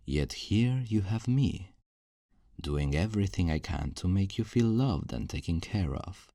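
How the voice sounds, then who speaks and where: A man's voice: deep voice, lively intonation, measured pace, mellow, no echo, clean studio sound. A man playing a possessive, self-righteous kidnapper in an ASMR roleplay, speaking in a condescending, coaxing, menacingly tender way. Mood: condescending tenderness. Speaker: audio roleplay performer.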